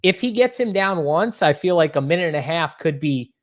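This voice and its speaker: A man's voice: on the high side, animated. Worcester-accented man agreeing along. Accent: Worcester, Massachusetts. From Worcester, Massachusetts. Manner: agreeable, quick to chime in, self-deprecating. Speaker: MMA betting analyst. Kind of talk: casual betting chat.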